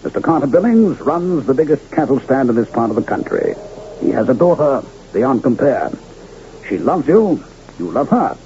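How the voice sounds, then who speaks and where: Casting: man as older carnival showman for vintage radio drama, theatrical and genial, very animated pitch, dull hissy recording. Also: moderate pace, natural voice. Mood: kindly, scheming. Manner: theatrical, florid, courtly diction. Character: genial, grandiloquent old carnival showman, widowed, sly. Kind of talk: old-time radio drama acting. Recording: muffled, noisy vintage radio broadcast.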